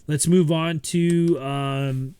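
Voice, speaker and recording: A man co-hosting a podcast, talking in a relaxed, rambling way with lots of fillers and restarts. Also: a little high, drawn-out words, clean tone, dry recording. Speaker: podcast co-host. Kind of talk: casual chat.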